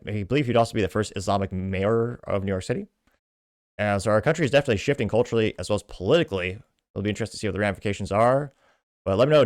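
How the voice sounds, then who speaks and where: Low-voiced American man, podcast commentator. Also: no echo, studio quality. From Midwestern United States. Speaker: podcast host. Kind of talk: political commentary, reading social media posts aloud.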